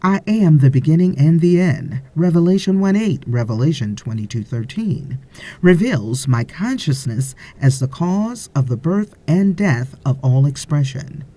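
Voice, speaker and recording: Female audiobook narrator, unhurried measured delivery, lively rise and fall in pitch, soft mellow tone. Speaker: audiobook reader. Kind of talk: scripted audiobook narration of a spiritual text.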